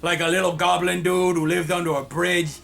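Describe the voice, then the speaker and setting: A man voicing a rushed, blustering blue male character who sometimes talks like a caveman. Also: sits high, flat delivery, gravelly, a bit bright, heavily acted. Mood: hurried.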